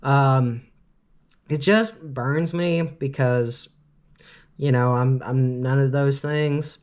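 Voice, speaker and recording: A man's voice: mid-range voice, takes it slowly, a little mellow. Rambling, earnest man in his late twenties. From Cleveland, Ohio, US. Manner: rambling, constant "you know" fillers; earnest, opinionated. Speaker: vlogger. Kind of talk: casual storytime monologue.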